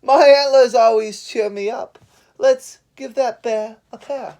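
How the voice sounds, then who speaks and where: A reader voicing a proud, scornful moose in a loud, emphatic, whiny complaint. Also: medium pitch, unhurried, pitched above the performer's own voice, close to an everyday voice.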